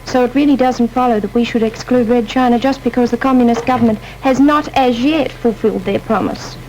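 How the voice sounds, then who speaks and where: A teenage girl debates with confidence, pressing opponents with pointed questions. Her voice is fairly high. A faint hiss runs underneath. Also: a little fast, a little mellow, highs cut off. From Australia. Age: teens. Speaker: champion student debater. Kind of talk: panel debate.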